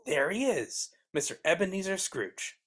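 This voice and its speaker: A woman playing Charles Dickens as a genial storytelling narrator in a live dramatic reading, with a husky voice and theatrical delivery. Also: on the low side, sing-song, normal speed. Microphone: video call. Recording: online video call track.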